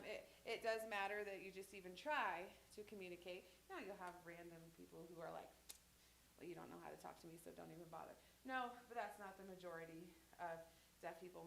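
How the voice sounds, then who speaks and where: A woman's voice: fairly low pitch, vocal fry, a bit bright, slight background noise. A woman in her thirties teaching a sign language class at church, talking casually and rambling through stories with restarts. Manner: chatty, rambling with anecdotes and restarts. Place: church hall. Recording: echoey church room.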